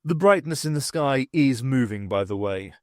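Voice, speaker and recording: A man's voice: mid-range voice, lively intonation, moderate pace, dry recording, clean studio sound, lightly acted. A man voicing a cheerful, enthusiastic male academic who explains things in a chatty way, in an audiobook reading.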